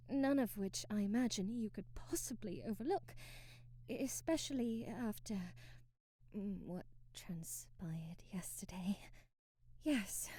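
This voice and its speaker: High, bright, flustered young maid, acted by a woman. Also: no room sound. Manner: babbling, hesitant, self-deprecating, formal old-fashioned wording. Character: timid, clumsy, devoted young maid, flustered and apologetic. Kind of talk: ASMR roleplay acting.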